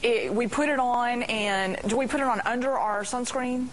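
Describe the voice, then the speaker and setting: A woman's voice: on the high side, moderate pace, a little hiss or hum, rough recording. A woman hosting a TV segment, asking questions and chatting in a casual, conversational way. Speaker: TV host.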